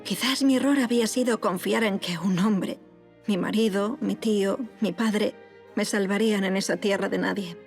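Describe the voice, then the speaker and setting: An actress playing a resolute, betrayed noblewoman, narrating her story in a measured, solemn audio drama style. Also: medium pitch, vocal fry, darkish tone, natural voice. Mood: grave reflection. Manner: measured, solemn, literary delivery. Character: resolute historical noblewoman, betrayed, claiming her own fate. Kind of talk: scripted first-person audio drama narration. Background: music.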